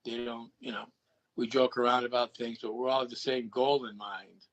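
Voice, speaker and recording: A man talks over a video call in a relaxed, loose way. He circles back on his own words, restarts sentences and repeats phrases as he talks about paranormal work. His voice sits at an ordinary middle pitch. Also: normal speed, dull audio. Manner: rambling, repeats himself with many restarts. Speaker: paranormal investigator. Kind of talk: casual video-call chat.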